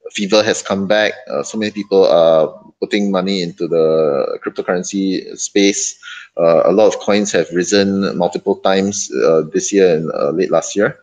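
A middle-aged man from Singapore giving an informal webinar talk, explaining in a measured way with frequent hesitant pauses. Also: sits low, poor audio. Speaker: webinar speaker, crypto advisor. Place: indoor office room at night, by large windows. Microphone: gaming headset.

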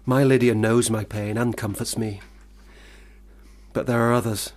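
An actor playing an earnest, prim, troubled young poet in a radio play, husky and formal in his phrasing. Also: mid-range voice, fairly even intonation, unhurried, a little mellow, close to an everyday voice. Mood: defensive.

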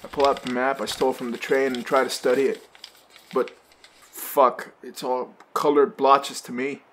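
An actor playing a sardonic, anxious, foul-mouthed young male survivor who narrates in first person for an audio drama, often halting and stammering. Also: medium pitch, flat delivery, echoey room, slight background noise, natural voice. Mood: grim, weary narration.

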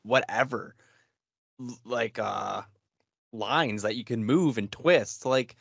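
A man's voice: mid-range voice, takes it slowly, vocal fry, husky, no room sound. A man in his thirties chatting casually as a podcast co-host. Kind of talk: casual chat. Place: room at home, indoors. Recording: slightly dull, band-limited audio.

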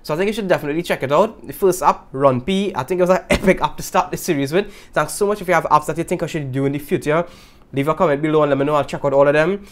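Vlog host, young trini guy, quick talk, raised voice, lively, gestures and laughs. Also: fairly high pitch. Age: young adult. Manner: animated, gesturing, laughing asides. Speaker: YouTube host. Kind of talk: casual vlog talk to camera. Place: small indoor room with guitars.

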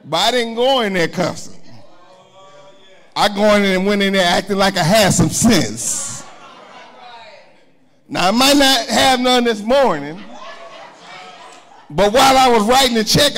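Preaching middle-aged man, higher-pitched. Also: lively intonation, unhurried, long pauses, fairly bright tone. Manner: conversational preaching with call-and-response asides. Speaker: pastor. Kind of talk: sermon. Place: church sanctuary, indoors. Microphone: handheld microphone.

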